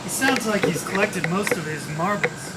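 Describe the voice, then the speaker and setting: A man's voice: high voice, moderate pace, close to an everyday voice. A man playing a polite, matter-of-fact gangster henchman in an old-time radio detective play. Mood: mock-polite. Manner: courteous, matter-of-fact menace. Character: polite, unhurried male thug called Uki, mock-courteous. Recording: noisy live recording with hiss.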